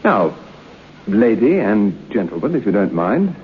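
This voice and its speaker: Breezy, self-assured gentleman. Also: lowish voice, big pitch swings, measured pace. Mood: breezy, confident. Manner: urbane, dismissive, self-assured. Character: breezy, sceptical gentleman making a wager. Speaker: actor. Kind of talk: old time radio drama acting. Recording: muffled old radio recording with hiss.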